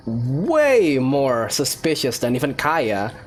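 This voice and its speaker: A woman's voice: extremely low pitch, sing-song, slow. A woman hosting a gaming let's-play, giving casual, playful commentary as she reacts aloud to the game. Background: game music.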